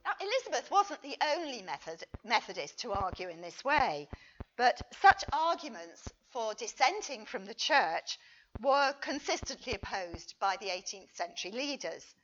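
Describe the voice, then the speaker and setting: A woman reading a history paper aloud as a conference speaker, expressive and emphatic, with a wry touch. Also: fairly high pitch, highs cut off. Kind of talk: prepared lecture read aloud to an audience.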